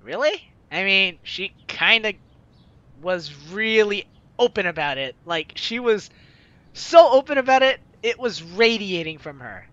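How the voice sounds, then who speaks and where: An animated gaming host with a bright voice and a wide, swooping pitch. They read a visual novel aloud and giggle and exclaim between lines. The sound is slightly dull, with game music behind. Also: measured pace, no echo. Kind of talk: let's play commentary, reading visual novel lines aloud.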